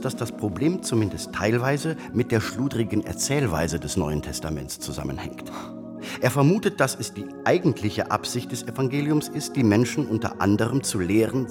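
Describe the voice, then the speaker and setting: An actor as a wry, bookish man on a hospital ward. His lowish voice moves expressively as he holds forth drily, with music behind in a radio drama. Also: lightly acted. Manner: explanatory, opinionated, dry. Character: bookish, wry male hospital patient, science fiction enthusiast. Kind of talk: radio play acting.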